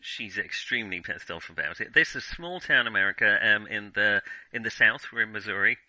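A man's voice: lowish voice, brisk, sharp tone, dry recording, poor audio. A man hosting a podcast in casual film discussion, talking in a hesitant, self-deprecating way. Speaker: podcast host.

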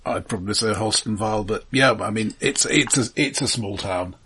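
A man's voice: lowish voice, normal speed, sharp tone, slightly rough. A man co-hosting a podcast in casual discussion, often qualifying and correcting what he says mid-sentence. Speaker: podcast co-host.

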